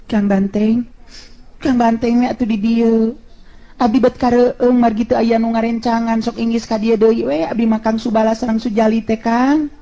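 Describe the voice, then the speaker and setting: A woman voicing a polite, demure and grateful young village woman in a storytelling, gentle and deferential, on a dull recording. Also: a little high, level tone, moderate pace, close to an everyday voice. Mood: pleading. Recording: dull, lo-fi sound.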